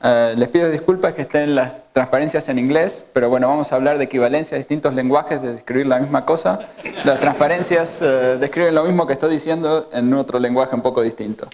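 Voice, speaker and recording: A man's voice: medium pitch, brisk, a little mellow. A middle-aged man with an Argentine Spanish accent, giving a hesitant academic lecture in a university auditorium, with many fillers and restarts. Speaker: guest lecturer. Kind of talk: academic colloquium talk.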